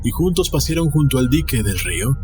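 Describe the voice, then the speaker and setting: A man's voice: mid-range voice, big pitch swings, a little fast. Man narrating a story over music. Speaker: audiobook narrator. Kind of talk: scripted dramatic story narration. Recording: music behind the reading. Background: background music.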